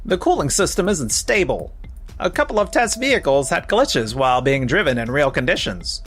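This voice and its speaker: A man's voice: fairly high pitch, sing-song, moderate pace, a bit bright, faint music behind. A man playing a stern, exacting corporate manager in a scripted manga dub, probing and reproachful. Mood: grave.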